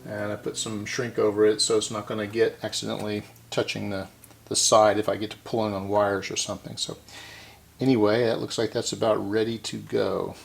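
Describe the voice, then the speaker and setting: Low-voiced man with a gravelly edge. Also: little pitch movement, brisk. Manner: unscripted, talking to himself while working. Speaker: host of a vintage electronics repair channel. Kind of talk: casual hands-on repair demonstration. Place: indoor electronics workbench.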